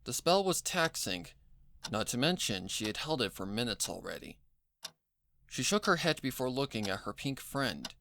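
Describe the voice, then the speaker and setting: An amateur narrator reading scripted fiction with expressive, measured storytelling. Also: mid-range voice, slight background noise.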